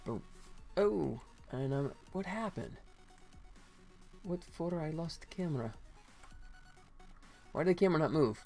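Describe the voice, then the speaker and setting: A man's voice: on the high side, animated, a little slow, gravelly, pauses often, warm tone, faint music behind. A man live streaming as a game developer, chatting casually with viewers and muttering to himself as he works. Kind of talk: casual live stream chat while working.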